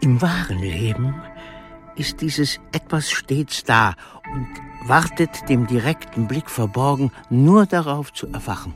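An actor playing a brooding, philosophical storyteller in a radio drama, with a gravelly voice and a deliberate, ominous delivery. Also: male voice, medium pitch. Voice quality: gravelly, creaky. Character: brooding, philosophical male storyteller musing on order and chaos. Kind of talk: literary radio drama monologue. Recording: music under the voice. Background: music.

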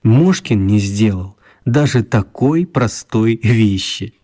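A man narrating a children's radio play as an expressive, wry storyteller. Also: fairly low pitch, slow pace. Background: music.

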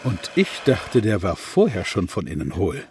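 A man's voice: fairly low pitch, huge pitch swings, moderate pace, a little mellow, a little hiss or hum. A man voicing a sarcastic, grumpy male druid in a parody audio drama, with a dry, mocking way of talking.

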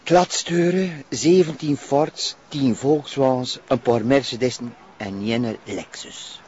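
A man plays a bored Flemish policeman on watch in a television crime drama. He complains in a deadpan, mid-pitched voice. The audio is a little muffled. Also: slow, lightly acted. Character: bored, grumbling male policeman on surveillance. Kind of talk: naturalistic TV crime drama acting. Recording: muffled sound with faint noise.

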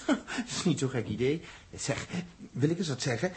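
A male actor plays an easy-going but grumbling male crewman in an early-sixties science fiction radio play. The pitch is medium, and the talk is colloquial, with small hesitations and repeated words. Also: animated, moderate pace, darkish tone, a little hiss or hum, lightly acted. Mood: grumbling, casual. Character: informal, grumbling male spaceship technician. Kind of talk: 1960s Dutch radio drama acting.

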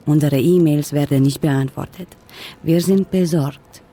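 An actress playing a composed, businesslike government official in a radio drama, measured, discreet and matter-of-fact. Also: female voice, low-pitched, fairly even intonation, warm tone, breathy edge, full character voice. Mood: concerned, matter-of-fact.

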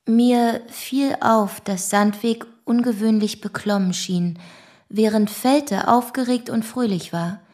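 A woman's voice: a little high, fairly even intonation, slow, a little mellow. An actress playing a sober young woman who gives formal testimony in a radio drama.

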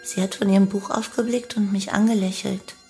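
An actress playing a young cult killer who confesses in a detached, matter-of-fact way in a radio play. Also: female voice, medium pitch, steady tone, brisk, warm tone, soft background music, natural voice. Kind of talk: radio play monologue in testimony form.

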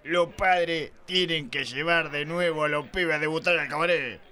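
A man's voice: a little high, fairly even intonation, normal speed, pushed and bright. A man playing a crude, pompous, bigoted police inspector in a comedic radio play, full of macho bluster. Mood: preachy, nostalgic.

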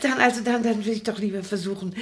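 A woman's voice: mid-range voice, steady tone, fast talker, close to an everyday voice. An actress playing an anxious old lady in a 1960s radio comedy, fluttery, plaintive and repeating herself. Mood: alarmed, dithering. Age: older. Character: dithering, anxious old lady lost with her pet dog.

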